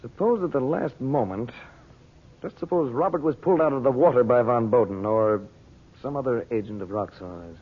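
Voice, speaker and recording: An actor in an old radio drama playing an earnest, steady man who deliberates seriously. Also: mid-range voice, lightly acted. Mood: pondering, serious. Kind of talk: 1940s radio drama acting. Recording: muffled, phone-like old radio broadcast recording.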